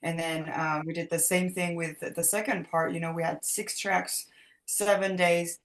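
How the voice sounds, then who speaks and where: A woman's voice: lowish voice, little pitch movement, moderate pace. A young woman from France, a singer, talking casually and loosely with many fillers on a video call. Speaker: singer, interview guest. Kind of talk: casual interview talk.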